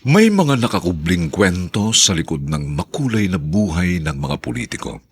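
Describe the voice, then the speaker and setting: A man's voice: low voice, sing-song, husky. A Filipino man narrating and announcing a radio drama in a solemn, theatrical, emphatic style. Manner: theatrical, drawn-out emphatic phrasing.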